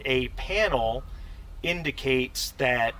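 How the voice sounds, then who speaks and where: A man narrating a tabletop game as game master, improvising with halting pauses. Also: medium pitch, lively intonation, pushed and bright. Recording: distant mic with background noise.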